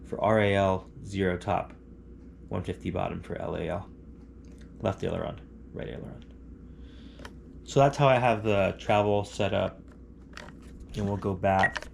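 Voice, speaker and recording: Stuffy nasal guy with a cold, lowish gravelly voice, walking you thru a setup. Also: fairly even intonation, a little slow, pauses often, a little mellow, a little hiss or hum. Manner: step-by-step explaining, often checks in with "okay?". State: congested with a cold. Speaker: hobbyist demonstrating a radio setup. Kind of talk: casual how-to tutorial. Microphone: camera on a handheld tripod.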